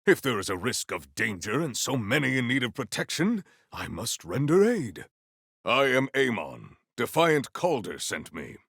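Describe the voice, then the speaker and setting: An actor playing a solemn, valiant warrior hero in a video game, speaking in formal, archaic, grandiose phrases. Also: male voice, medium pitch, sing-song, normal speed, creaky, fairly bright tone, slightly rough, no room sound, studio quality, exaggerated voice. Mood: solemn, resolute. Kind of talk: theatrical video game character lines.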